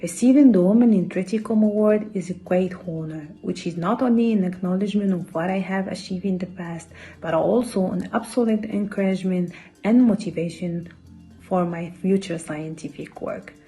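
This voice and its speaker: A woman with a Moroccan accent delivers a prepared researcher's speech. Her tone is mellow and a touch low, over faint background music. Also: moderate pace. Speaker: postdoctoral researcher accepting an award.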